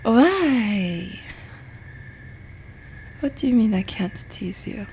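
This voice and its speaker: A woman playing a playful, teasing, affectionate young French roommate in an intimate ASMR roleplay, speaking softly and without hurry. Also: mid-range voice, very animated, slight background noise, exaggerated voice. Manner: soft, unhurried, breathy whispering, teasing.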